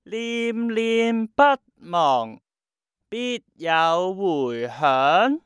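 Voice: medium pitch, sing-song, very slow, smooth, dry recording. An actor playing an earnest, naive young grandson, a schoolboy full of questions, in a radio drama. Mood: pondering. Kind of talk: Cantonese radio drama acting.

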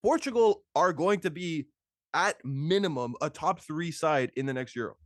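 A young man chatting casually about football as a podcast host, excitable and slangy with emphatic repetition. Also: fairly high pitch, normal speed, no room sound, clean studio sound. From Toronto, Canada. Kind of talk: casual sports chat.

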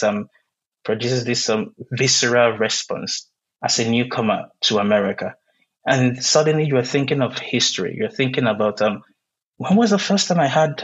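A man from Nigeria, a poet whose first language is Igbo, speaking in an interview, pausing often with many "um"s as he searches for words. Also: mid-range voice, slightly rough, muffled recording. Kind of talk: reflective interview conversation.

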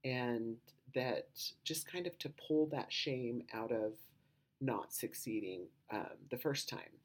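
A woman with a low voice hosting a podcast, talking in a rambling, casual way with lots of "you know" and "um" asides. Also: slow. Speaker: podcast host.

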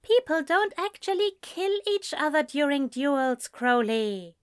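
A woman's voice: high voice, big pitch swings, measured pace, voice pushed up. A woman reading aloud, voicing a prim, flustered male angel who scolds in an anxious, proper way. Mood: fussy.

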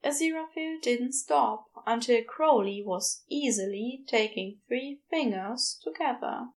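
Female audiobook narrator, higher-pitched with vocal fry, very unhurried story reading. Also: lively intonation. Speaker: fan fiction audiobook reader.

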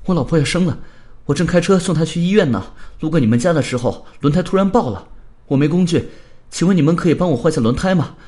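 A man voicing a frantic, polite young man in an audio story, speaking in a hurried, pleading way. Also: a little high, lively intonation, a little mellow, natural voice.

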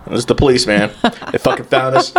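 A man's voice: mid-range voice, animated, a little fast, crowd noise. A man hosting a crude comedy podcast, swearing freely, joking and laughing often as he riffs. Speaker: podcast host.

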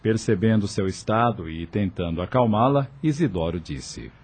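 A Brazilian man narrates a scripted radio drama. His voice is lowish and dark, with lively, varied intonation. Music plays beneath the narration. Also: moderate pace, slightly rough. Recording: music under the voice.

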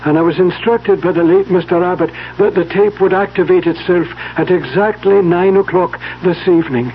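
Butler for radio play: very high-pitched for a man, formal, deferential, exact; muffled vintage sound. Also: moderate pace. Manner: formal, servile, precise. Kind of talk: 1970s radio drama acting. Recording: dull, hissy 1970s radio recording.

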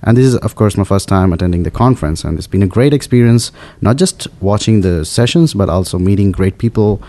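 A man's voice: on the low side, lively intonation, mellow. A man hosting a casual interview at a developer conference, talking with frequent fillers and restarts. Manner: halting, many fillers and restarts. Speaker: podcast host. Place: conference venue.

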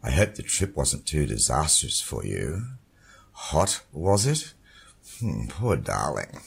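An actor playing a cynical dead husband who mocks his widow in a warm, controlled, lilting voice. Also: extremely low pitch, very animated, takes it slowly, creaky, breathy edge, exaggerated voice. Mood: mocking. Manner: sardonic, taunting. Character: mocking dead husband, middle-aged man, cynical.

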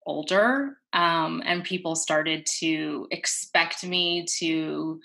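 A woman, an interview guest talking thoughtfully and haltingly in a casual reflective conversation. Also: low-pitched, vocal fry, pushed and bright. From New York City. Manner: thoughtful, halting, with many fillers and restarts.

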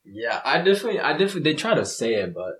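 A man in his twenties, a professional soccer player, chatting casually as a guest, relaxed and swearing freely. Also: medium pitch, very fast, slightly rough. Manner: relaxed, swearing, animated when retelling. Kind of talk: casual chat.

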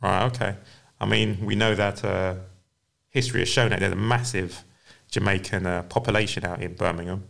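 A man's voice: deep voice, steady tone, normal speed, gravelly, fairly bright tone. A male podcast host who reads a scripted introduction, then asks casual questions, often repeating words and saying "fair enough".

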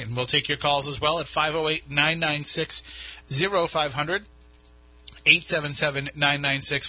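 A man's voice: a little high, sharp tone, dry recording, poor audio. A man with a New England accent hosting a radio show, chatting casually in a studio with many restarts. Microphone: studio mic.

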